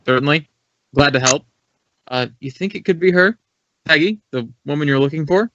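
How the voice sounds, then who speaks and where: A man's voice: fairly high pitch, moderate pace, bright, forceful tone, no echo. An American man playing an earnest, talkative drama school head in a radio drama, speaking with emphatic, reflective phrasing. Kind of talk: 1950s radio drama dialogue. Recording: dry, dull vintage radio recording.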